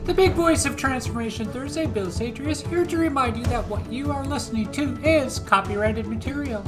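A woman's voice: high voice, a little fast, over music. A woman with an American accent from Minnesota, co-hosting a podcast in casual interview chat. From United States, Minnesota. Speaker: podcast co-host.

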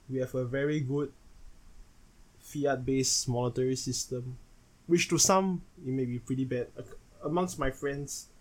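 A Singaporean man in his twenties delivers a solo podcast monologue as host, in measured, phrase-by-phrase fashion. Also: mid-range voice, darkish tone, rough recording. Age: 20s.

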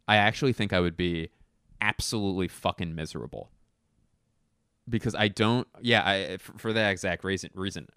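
A young man talks in a deep, smooth, resonant voice, recorded close and dry. He rambles through a casual chat with quick asides and restarts. His tone is self-mocking yet sure of himself. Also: a bit bright, dry recording.

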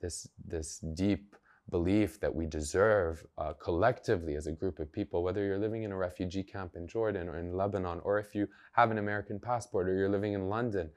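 A man's voice: low voice, steady tone, a little fast. A man, a journalist and activist, speaking earnestly and emphatically as a podcast guest, thinking aloud as he goes. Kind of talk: podcast interview conversation.

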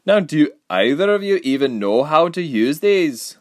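A brisk, no-nonsense woman of blades voiced by a man, pitched up with lively swings. She is an unruffled character in an audiobook story. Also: dry recording, studio quality. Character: brisk, calm, no-nonsense woman knife owner.

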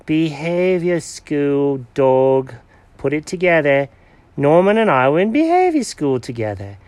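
Man voicing a bossy excitable tomcat, high and squeaky, swooping pitch, storybook, dry close sound. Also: normal speed, no echo. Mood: scheming. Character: excitable male cat, dramatic and bossy.

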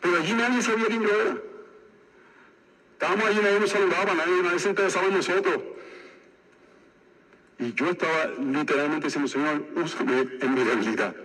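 A man's voice: high voice, brisk, pauses often, fairly bright tone. A man preaching a sermon as pastor of a church, with a slight lisp and an emphatic, repetitive way of exhorting the congregation. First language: Spanish. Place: church sanctuary. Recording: echoey hall, voice from far off.

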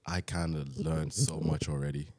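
A young man whose first language is Dinka, from South Sudan, chatting casually on a podcast, laughing often and quick to apologize. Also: extremely low pitch, steady tone, warm tone, dry recording. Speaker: podcast co-host. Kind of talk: casual chat.

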